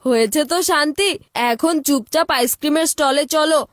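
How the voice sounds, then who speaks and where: A woman's voice: high-pitched, a little fast, no echo, studio quality, close to an everyday voice. A woman voicing a food-loving young child sidekick in a cartoon, with a pleading, complaining way of talking. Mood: annoyed. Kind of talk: animated cartoon dubbing.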